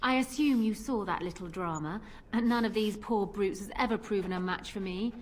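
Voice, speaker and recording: A woman's voice: mid-range voice, fairly quick, slight background noise, natural voice. An actress voicing a confident, flirtatious female pirate captain and duelist in a video game, with a teasing, theatrical manner. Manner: teasing, self-assured, theatrical.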